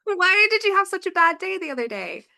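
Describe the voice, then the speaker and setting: A woman hosting a podcast, chatty and asking quick, friendly questions. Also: very high voice, big pitch swings, creaky, sharp tone. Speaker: podcast host. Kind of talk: casual interview chat.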